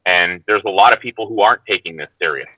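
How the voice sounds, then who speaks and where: Low, bright-voiced man, halting delivery. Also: no echo, poor audio. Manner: halting, hesitant, qualifies his statements. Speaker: guest commentator. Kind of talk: casual, unscripted discussion.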